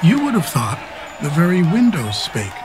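Elderly loyal duke, stern, fiery, fairly high voice, measured verse delivery, radio play. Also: male voice, huge pitch swings, music in the background, a crowd in the background. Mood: solemn, awed. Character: stern elderly English duke, proud, loyal, quick to fury. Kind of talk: Shakespeare radio play acting, archaic verse.

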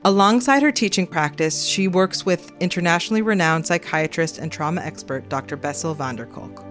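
Low-voiced woman podcast host. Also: lively intonation, brisk, fairly bright tone, faint music behind. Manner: thoughtful, conversational questions. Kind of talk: casual podcast interviewing.

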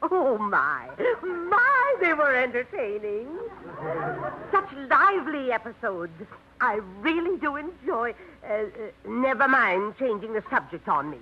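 An actress playing a fussy, prim middle-aged aunt in a 1950s radio drama, prying and fretting, on a dull old radio recording. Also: female voice, fairly high pitch, big pitch swings, unhurried, noisy background.

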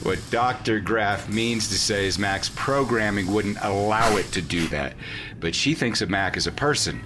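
Casting: male actor as blunt sci-fi commander, lowish pitch, practical delivery, noisy industrial setting. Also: moderate pace, natural voice. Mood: wary, skeptical. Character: blunt, gruff male commander of deep-sea base. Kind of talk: sci-fi audio drama acting. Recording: loud rumbling industrial ambience.

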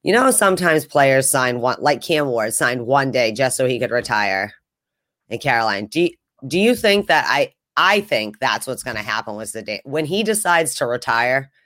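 Man, medium pitch, dry recording, casual sports talk, rambling, conversational co-host. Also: sing-song, moderate pace, bright, forceful tone, dry recording. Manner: rambling, conversational, thinks aloud.

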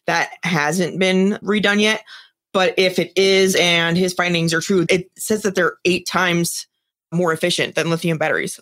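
A woman's voice: lowish voice, fairly bright tone. A woman co-hosting a podcast, explaining a story in an informal, chatty way. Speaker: podcast co-host. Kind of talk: casual podcast chat, explaining a news story.

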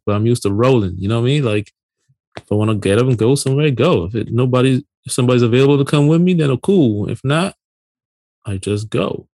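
A man's voice: mid-range voice, a little mellow, dry recording. A man in his late thirties from Barbados, co-hosting a podcast in casual conversation. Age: late 30s. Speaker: podcast co-host.

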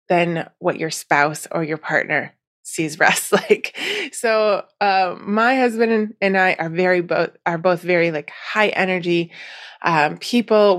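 An American woman hosting a podcast, chatting casually and in a rambling way to her listeners, sounding a bit nasal and congested from allergies. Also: on the low side. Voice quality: slightly stuffy, nasal from allergies. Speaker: podcast host.

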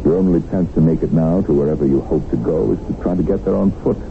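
A shrewd American tycoon in captivity, in a 1970s radio play. His voice is very low and creaky, dryly commanding and wry. It comes through a tinny, heavily noisy broadcast recording. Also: fast. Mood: wry, persuasive. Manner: dry, wry, persuasive. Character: shrewd, sardonic kidnapped businessman, coolly commanding.

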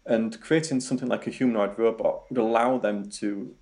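A young man with a Yorkshire accent answers interview questions. His voice sits low and has a gravelly edge. He keeps stopping to restart his words, with many ums along the way. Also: steady tone, a little fast, darkish tone. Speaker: robotics researcher guest.

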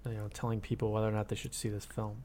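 A man's voice: fairly low pitch, completely flat delivery, racing, darkish tone, slight background noise. A man co-hosting a podcast, reasoning aloud about film in casual, conversational speech with repeated words. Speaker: podcast co-host.

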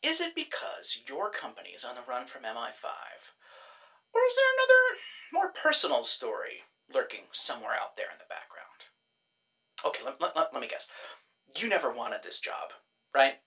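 A man's voice: wildly swooping pitch, normal speed, bright, forceful tone, heavily acted. An actor playing a glib, self-satisfied man who kidnaps clients for a death-faking service, talking like a salesman. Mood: smug. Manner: glib salesman pitch, chatty and pleased with himself. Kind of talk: audio drama acting.

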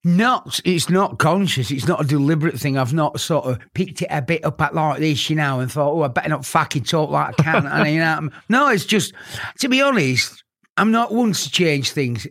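A man's voice: on the high side, lively intonation, normal speed, fairly bright tone. Jokey man with a Yorkshire accent. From Yorkshire, England. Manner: jokey, blunt, laughs often. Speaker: interviewee. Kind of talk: casual conversation.

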